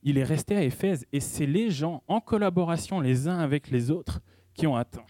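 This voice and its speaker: Dark-toned man preaching casually. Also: a little high, moderate pace, no echo. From French-speaking. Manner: conversational preaching with frequent hesitations and restarts. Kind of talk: sermon.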